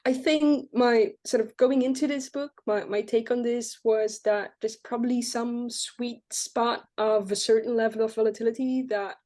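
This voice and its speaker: A woman's voice: a little high, steady tone, normal speed, few pauses, warm tone. A woman from Belgium who grew up there, talking about her research as an author in an academic interview, often restarting and repeating words. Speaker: author guest.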